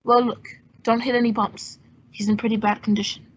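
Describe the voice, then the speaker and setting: A woman playing a cool, quick-witted male adventurer and sleuth in an old-time radio drama. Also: fairly high pitch, little pitch movement, breathy edge. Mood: wry, cool. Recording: dull lo-fi audio.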